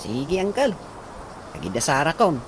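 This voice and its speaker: An actor playing a dutiful, concerned young nephew in a village radio comedy drama. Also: male voice, a little high, huge pitch swings, measured pace. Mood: concerned, helpful. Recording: noisy radio drama, birds cooing behind.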